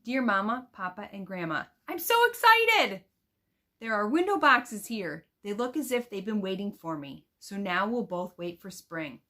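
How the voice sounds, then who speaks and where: A woman reading aloud as an eager, earnest young girl writing letters home, in a careful, expressive storybook manner. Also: mid-range voice, moderate pace. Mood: excited.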